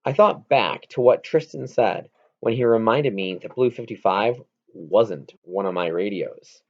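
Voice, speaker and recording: A man reading a children's novel aloud as narration, in an expressive, amateur read-aloud manner. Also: lowish voice, measured pace, vocal fry, lo-fi sound. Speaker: audiobook reader.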